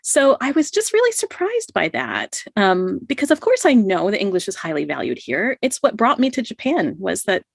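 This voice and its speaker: A woman's voice: medium pitch, sing-song, normal speed, creaky, few pauses, dry recording, clean studio sound. A middle-aged woman telling a personal story as a podcast guest, laughing often and filling with "you know" and "like". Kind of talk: casual storytelling in an interview.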